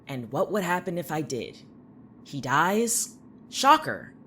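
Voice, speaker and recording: Audio drama lead, played by a woman: low, animated, exasperated young patient with a blunt, snarky, defensive edge. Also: slow pace, a little hiss or hum, exaggerated voice. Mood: indignant, sarcastic.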